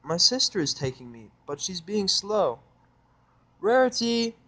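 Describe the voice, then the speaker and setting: A man's voice: sing-song, unhurried, pushed and bright, heavily acted. A man voicing a young, timid but spirited girl unicorn in a story, with a childlike manner. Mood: nervous. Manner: high-pitched, childlike, hesitant.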